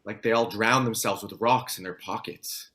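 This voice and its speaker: A man's voice: fairly low pitch, fairly even intonation, moderate pace, a bit bright, close to an everyday voice. A man playing a concerned, sober survivor in a scripted table read.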